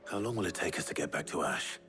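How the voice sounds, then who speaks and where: An actor playing a determined, brooding warrior leader in a video game, with a British accent and a terse, grave manner. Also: male voice, sits low, lively intonation, fast, music in the background. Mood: grave resolve. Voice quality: husky, gravelly. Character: determined, brooding male warrior leader, reckless but steady. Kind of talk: video game cutscene acting.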